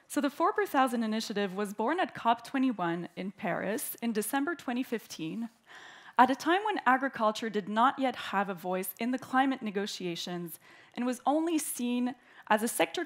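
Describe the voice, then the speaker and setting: Woman from Canada hosting a conference opening, fairly high voice, reading prepared welcome remarks. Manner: warm, clear and orderly, reading prepared remarks. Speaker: event host, opening speaker. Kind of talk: formal welcoming speech. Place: conference hall.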